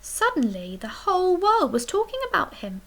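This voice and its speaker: A woman reading a children's picture book aloud as a storyteller, expressively, with dramatic emphasis and hushed, breathy dips. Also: very high voice, very animated, moderate pace. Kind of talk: expressive read-aloud bedtime story narration.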